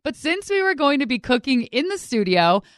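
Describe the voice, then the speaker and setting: Woman food-show host with lively, swooping intonation. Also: sits high, fairly quick, no echo, clean studio sound. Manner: conversational, informal storytelling. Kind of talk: scripted narration mixed with casual interview. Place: studio.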